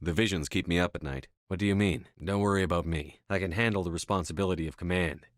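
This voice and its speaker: Man playing a resolute soldier commander, low voice, firm, earnest, dry studio sound, video game dialogue. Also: fast talker, no room sound, close to an everyday voice. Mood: determined. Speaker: voice actor.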